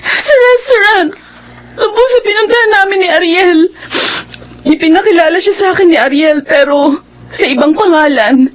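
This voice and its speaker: A woman's voice: extremely high pitch, normal speed. A woman playing a heartbroken young Filipina returnee in a radio drama, earnest and emotional, with a Filipino accent. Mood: tearful, outraged. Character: heartbroken young Filipina returning from overseas work, wary of love. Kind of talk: radio drama acting with first-person narration.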